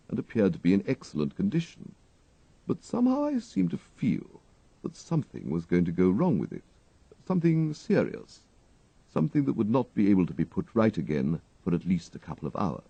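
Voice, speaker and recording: Audiobook narrator, male, English, formal and dryly comic, mellow low voice with creak. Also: wildly swooping pitch, normal speed, no room sound, lo-fi sound. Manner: formal, precise. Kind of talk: dry comic scripted narration in character as a valet.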